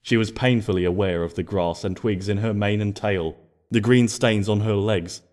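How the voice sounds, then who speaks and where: A man narrating a scripted story expressively, in a gentle storybook manner. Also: fairly low pitch, normal speed. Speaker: fan fiction narrator.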